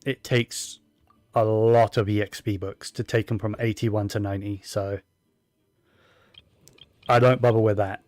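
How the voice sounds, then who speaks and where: A man's voice: fairly low pitch, little pitch movement, a little slow, long pauses, a little mellow, no echo, clean studio sound. A man giving casual, rambling commentary while playing a video game, with plenty of fillers and restarts. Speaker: gaming streamer.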